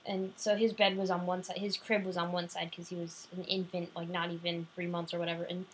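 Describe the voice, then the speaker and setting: A woman's voice: lowish voice, flat delivery, brisk, a little hiss or hum. A woman telling anecdotes in a chatty, rambling, casual way.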